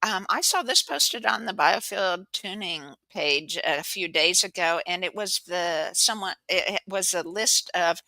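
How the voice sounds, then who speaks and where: A woman's voice: lowish voice, expressive, measured pace, few pauses, pushed and bright, no echo. A woman hosting a podcast, chatting casually and often searching for her words. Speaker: podcast host. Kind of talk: casual interview chat.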